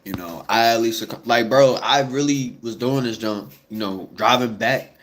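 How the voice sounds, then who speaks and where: A man's voice: mid-range voice, unhurried, a bit bright. Congested young man rambling casually. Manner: rambling, slangy, lots of fillers. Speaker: vlogger. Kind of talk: casual chat to viewers.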